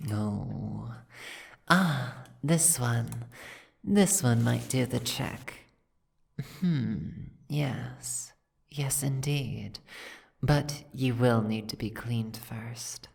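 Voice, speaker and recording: A woman playing an imperious, seductive dragon aristocrat in an ASMR roleplay, with languid, commanding, formal speech and a breathy, gravelly voice. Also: a bass voice, darkish tone, full character voice. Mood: commanding, haughty, then fussy, commanding. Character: imperious seductive female dragon, possessive aristocratic mansion owner. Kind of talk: ASMR roleplay, scripted second-person acting.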